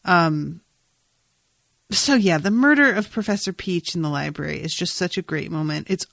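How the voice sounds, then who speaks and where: A woman hosting a podcast, casually recapping an episode in an animated, amused, sometimes sweary way. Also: on the low side, moderate pace, vocal fry, no echo. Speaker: podcast host.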